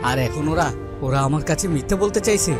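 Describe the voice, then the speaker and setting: Man voicing a dramatic, high-pitched cartoon king over music. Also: lively intonation, lightly acted. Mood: outraged. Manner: dramatic, halting with repeated words. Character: anguished, wrathful king worried for his lost queen. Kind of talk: Bengali fairy-tale cartoon dubbing. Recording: loud music under the voice.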